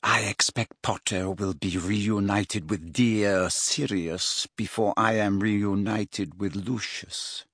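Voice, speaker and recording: A man voicing a haughty aristocratic mother in a storybook, speaking coldly, smoothly and with deliberate menace. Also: on the low side, fairly even intonation, fairly bright tone, dry recording, clean studio sound, pitched below the performer's own voice. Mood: quiet menace. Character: cold, haughty aristocratic mother, quietly threatening.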